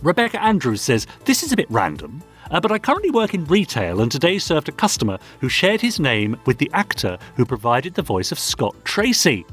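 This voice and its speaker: A man's voice: fairly high pitch, wildly swooping pitch, brisk, few pauses, fairly bright tone, slightly rough, soft background music. A British man co-hosting a podcast, chatting casually and reading out listener messages in a teasing, chatty manner. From United Kingdom. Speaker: podcast co-host.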